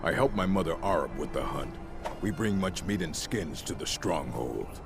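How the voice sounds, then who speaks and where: A very deep orc with a gravelly rasp. He is gruff and blunt, a working hunter from a fantasy game stronghold, with music behind him. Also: animated, moderate pace. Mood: stolid. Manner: terse, blunt. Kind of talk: fantasy video game acting.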